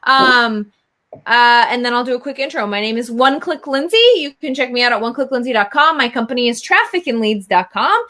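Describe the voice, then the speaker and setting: A woman's voice: on the high side, fairly quick, sharp tone. A woman co-hosting a live show in a casual, chatty, teasing way. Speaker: co-host of a business live show. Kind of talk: casual chat. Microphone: live video call.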